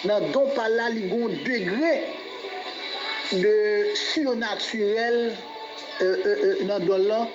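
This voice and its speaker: A Haitian man teaches as a pastor in a dull, echoey recording with music playing behind him. His delivery is unhurried and instructive, and he repeats his main points, pausing to check that they are understood. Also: high-pitched. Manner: didactic, repeats key phrases, checks understanding. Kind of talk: Bible teaching on spiritual gifts.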